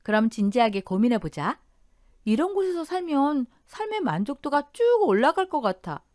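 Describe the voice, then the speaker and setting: A woman's voice: high voice, big pitch swings, normal speed, darkish tone, no room sound, studio quality, pitched above the performer's own voice. A woman playing a chatty, earnest young married daughter in radio story dialogue. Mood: excited, hopeful.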